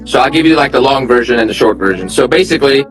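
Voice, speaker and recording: A man's voice: mid-range voice, lively intonation, fast, soft background music. A young man from Armenia, a real estate investor, telling his story in a candid, plainspoken interview. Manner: candid, plainspoken, lots of fillers.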